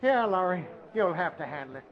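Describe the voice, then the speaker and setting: High-pitched, frazzled newspaper editor. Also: male voice, lively intonation, fairly quick. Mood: urgent, insistent. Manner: exasperated, barking, emphatic. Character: frazzled, grumbling, overworked newspaper city editor. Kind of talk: old-time radio drama acting. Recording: dull lo-fi vintage radio recording.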